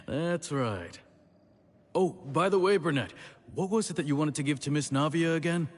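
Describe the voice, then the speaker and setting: A man's voice: a little high, unhurried, long reverb tail. A man voicing a friendly, chatty, grateful townsman in a video game.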